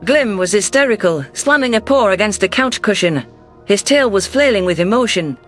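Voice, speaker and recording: High-pitched man narrating over music. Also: expressive, normal speed, a bit bright. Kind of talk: scripted first-person audio story narration. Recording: music bed under voice.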